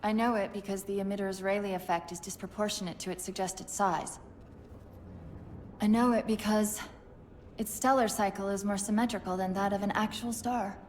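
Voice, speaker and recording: A woman voicing a sharp-witted, loyal female AI companion in a game cutscene, speaking in a precise, wry way. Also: medium pitch, steady tone, normal speed, slight background noise, close to an everyday voice. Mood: wistful. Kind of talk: video game cutscene acting.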